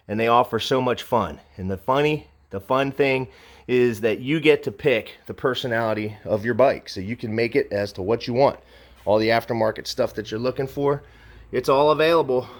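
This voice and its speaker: A man giving a conversational, enthusiastic, unscripted sales walkaround as a motorcycle dealer. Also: medium pitch. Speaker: motorcycle dealer presenting a bike for sale.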